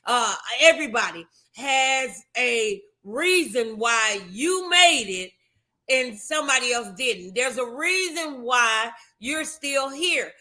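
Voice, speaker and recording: A woman's voice: a little high, lively intonation, takes it slowly, pushed and bright. A woman hosting a motivational morning show, speaking in a fervent, affectionate, preacher-like way and moving between casual talk and prayer. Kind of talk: inspirational talk and prayer, conversational.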